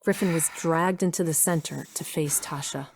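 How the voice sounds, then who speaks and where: A woman's voice: low voice, fairly even intonation, normal speed, creaky, a little hiss or hum. A woman reading scripted story narration as the narrator of an audio drama.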